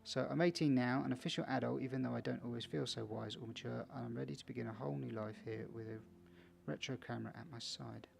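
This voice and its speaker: A man's voice: mid-range voice, fairly even intonation, fast, gravelly, a crowd in the background. A man playing a video game for viewers, casually reading its text aloud with small stumbles and chatty asides. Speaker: video game let's player.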